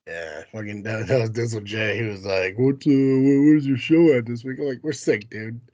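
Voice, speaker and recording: A man's voice: medium pitch, moderate pace, dark tone, dry recording, muffled recording. A man hosting a casual podcast while sick with a cold, talking in a self-deprecating, foul-mouthed, grumbling way. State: sick with a cold, runny nose. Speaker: podcast host. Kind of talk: casual chat, ad read at start.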